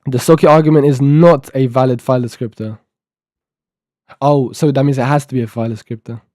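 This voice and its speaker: A man's voice: mid-range voice, steady tone, fairly quick, darkish tone, no room sound, studio quality. An eighteen-year-old man streaming live, thinking aloud and half-reading documentation to himself as he codes, with a sore throat. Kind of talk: casual live-stream talk while coding.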